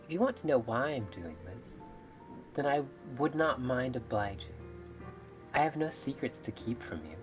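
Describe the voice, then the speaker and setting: A woman voicing a mermaid in a visual novel, measured, formal and coolly reasonable, politely menacing. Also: rumbling low, big pitch swings. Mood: serenely menacing. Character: calm, menacing female mermaid, politely murderous. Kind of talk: visual novel character read-aloud. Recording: music behind the voice.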